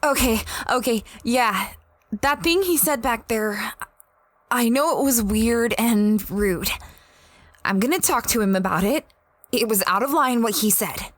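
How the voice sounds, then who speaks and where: A woman's voice: fairly high pitch, unhurried. A woman playing an apologetic young female space adventurer in an audio drama, hesitant and self-effacing. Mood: awkward, apologetic. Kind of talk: audio drama dialogue and diary-like narration.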